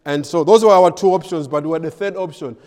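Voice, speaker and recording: A man from Malawi, a pastor and missionary in his thirties or forties, giving a ministry update with frequent pauses and fillers. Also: fairly high pitch, warm tone, big-room sound. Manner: hesitant, many filler pauses.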